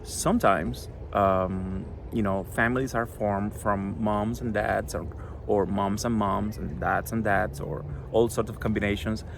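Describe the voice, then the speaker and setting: A middle-aged man from Cuba, a Spanish native speaker with a Cuban accent, talks earnestly to a school audience about his children's book, pausing often with fillers. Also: on the low side, fairly even intonation, vocal fry, noisy background. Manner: earnest, halting, many fillers. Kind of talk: recorded address to a school audience.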